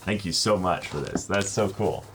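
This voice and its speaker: A man chatting casually as co-host of an unboxing, excitable and jokey, swearing freely. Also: low-pitched, normal speed. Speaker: YouTube channel co-host. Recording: noticeable background noise.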